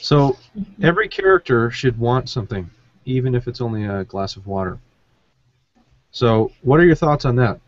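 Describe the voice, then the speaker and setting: A man's voice: medium pitch, animated, normal speed, no room sound, highs cut off. A man hosting a discussion podcast, explaining and summing up advice for writers in a conversational way. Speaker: podcast host.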